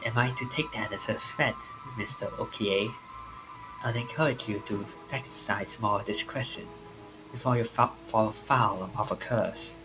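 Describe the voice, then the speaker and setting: A man voicing a grave, formal man who speaks in a measured, menacingly polite way in a visual novel. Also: on the low side, music in the background, lightly acted, poor audio. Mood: cold threat. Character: grave, formal man calmly warning of a deadly curse.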